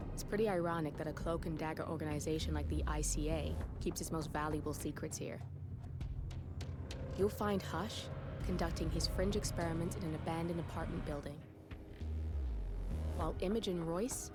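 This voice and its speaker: An actress as even, low-toned female hacker informant. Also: close to an everyday voice. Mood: wry, briefing. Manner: matter-of-fact, wry. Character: dry, businesslike female hacker informant, guarded. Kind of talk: cinematic game briefing.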